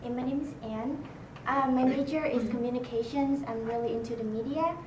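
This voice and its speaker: Brief: young student, native Vietnamese speaker, high voice, hesitant, roomy distant sound. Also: female voice, fairly quick, background noise. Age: young adult. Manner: hesitant with ums. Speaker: student writing consultant. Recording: echoey room, distant mic.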